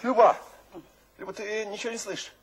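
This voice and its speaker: An actor playing a feverish, exalted poet tormented by visions, declaiming dramatically in a radio play. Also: male voice, sits high, fairly even intonation, a little fast, very noisy, lightly acted. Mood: feverish agitation. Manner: declamatory, dramatic, shifting between hush and outcry. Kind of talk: theatrical radio drama monologue.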